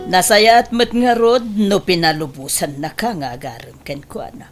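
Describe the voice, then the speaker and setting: A woman's voice: on the low side, a little slow, music in the background, close to an everyday voice. A middle-aged woman with an Ilocano accent playing a scheming, disapproving mother in an audio drama. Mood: calculating. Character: scheming, wealthy mother, disapproving of daughter's boyfriend.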